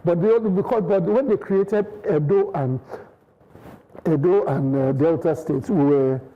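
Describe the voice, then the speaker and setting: A man's voice: a little high, a little slow, phone-quality sound. A man with a Nigerian accent, a studio guest arguing his point in a TV panel debate, insistent and full of restarts. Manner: insistent, many restarts and hedged clauses.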